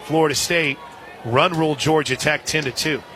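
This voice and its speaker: Higher-pitched male softball play-by-play announcer, crowd behind. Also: moderate pace. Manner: conversational, pours out scores and details between pitches. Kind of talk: live softball radio commentary. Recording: outdoor stadium crowd behind the voice. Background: crowd noise.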